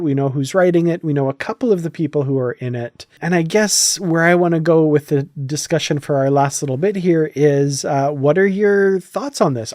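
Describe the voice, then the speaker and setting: A man's voice: fairly high pitch, darkish tone, dry recording, clean studio sound. A man hosting a casual podcast discussion, talking in an enthusiastic, conversational way. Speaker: podcast host.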